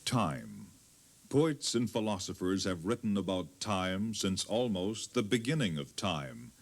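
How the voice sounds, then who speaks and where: A man narrating history from a script in a solemn, measured, dramatic way. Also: low-pitched, sing-song. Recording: very noisy old cassette tape.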